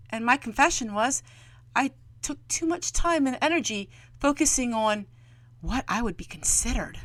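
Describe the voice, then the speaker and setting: An American woman vlogger sharing a personal, reflective monologue with viewers in an earnest, gently preachy manner, often posing rhetorical questions. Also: on the high side, no echo.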